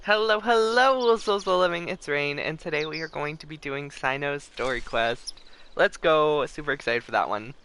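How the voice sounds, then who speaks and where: Bright-voiced, excitable man streaming. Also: a little high, normal speed, clean tone. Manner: excitable, chatty, addresses viewers directly. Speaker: livestream host, gamer. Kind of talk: casual gaming livestream chat.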